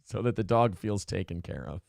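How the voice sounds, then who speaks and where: A man's voice: sits low, lively intonation, fairly quick, creaky, darkish tone, dry recording, studio quality. A man hosting a casual morning talk show, brash and profane, reading viewer donations aloud and riffing on politics.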